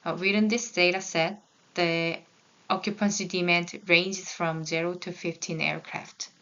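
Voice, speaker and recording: A woman's voice: on the low side, level tone, a little slow, bright, forceful tone, clean tone. A woman whose first language is Korean, presenting research results in English, reading carefully with small halts and restarts, on a slightly dull recording. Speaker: graduate student presenting research.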